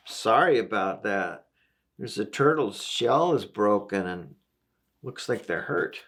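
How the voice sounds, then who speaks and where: A man reading a picture book aloud to young children, gently explaining things and asking the listener questions. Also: on the low side. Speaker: volunteer story reader.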